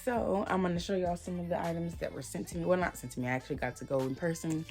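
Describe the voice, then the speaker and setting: A woman with a slightly hoarse voice, chatting casually and rambling as she reviews hair products. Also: lowish voice, steady tone, quick pace, darkish tone, faint music behind. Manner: chatty, rambling, lots of fillers. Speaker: hair vlogger.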